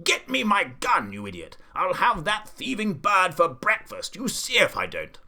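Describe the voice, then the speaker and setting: A man's voice: high voice, huge pitch swings, moderate pace, vocal fry, sharp tone, pitched above the performer's own voice, heavily acted. A man voicing a blustering, pompous, hot-tempered old aristocratic duke from a storybook, bellowing his lines. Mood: furious. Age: older. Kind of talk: storybook character voice.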